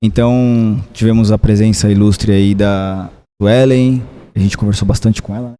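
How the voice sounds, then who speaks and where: Dark-voiced male podcast host. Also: fairly low pitch, fairly even intonation, normal speed, a little hiss or hum. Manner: chatty, leans on "né?" and "bom". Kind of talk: hosting a parish podcast interview.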